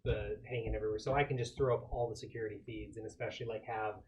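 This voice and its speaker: A man's voice: fairly low pitch, fairly even intonation, rapid-fire, a little mellow. A man chatting casually as a player in a tabletop game, rambling and circling back over his phrases. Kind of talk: casual chat. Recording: clearly noisy room recording.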